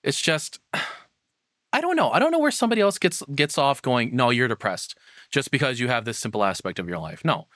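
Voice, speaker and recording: A man's voice: medium pitch, very animated, fairly quick, a bit bright, no echo, studio quality. A man giving unscripted reaction commentary as a streamer, rambling and questioning himself in casual language.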